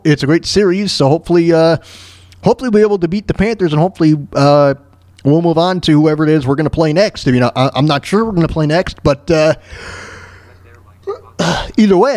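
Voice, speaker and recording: An American man hosting a talk show solo, rambling casually through news and sports in a halting, stammering way, repeating words and phrases. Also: a little high, a little mellow, no room sound.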